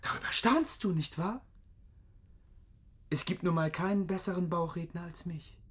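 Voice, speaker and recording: An actor playing a menacing, lovesick masked madman in a radio drama, theatrical and swinging from whispers to high calls. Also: normal speed, fairly bright tone. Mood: playful, eerie. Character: deranged masked phantom, theatrical, menacing, lovesick male ventriloquist.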